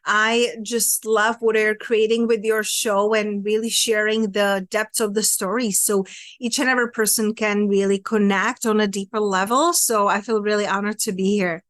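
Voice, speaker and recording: A woman's voice: medium pitch, fairly even intonation, moderate pace, fairly bright tone, no echo, studio quality. A woman in her thirties with a Czech accent, sharing her personal story earnestly and reflectively as an interview guest. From Czech Republic. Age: 30s. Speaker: podcast guest, women's empowerment coach.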